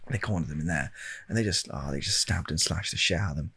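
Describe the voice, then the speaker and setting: Low, gravelly British man rambling softly in ASMR. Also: fast. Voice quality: husky, gravelly. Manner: deadpan, hesitant, meandering. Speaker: video storyteller. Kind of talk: ASMR rambling comic storytelling. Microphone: close handheld-recorder style microphone.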